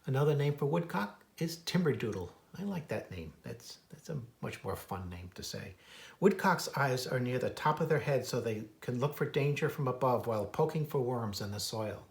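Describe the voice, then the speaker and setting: A man's voice: medium pitch, expressive, moderate pace, creaky. American man, children's nature read-aloud, informal asides, author-naturalist storytelling. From United States. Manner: imitates bird calls in a singsong.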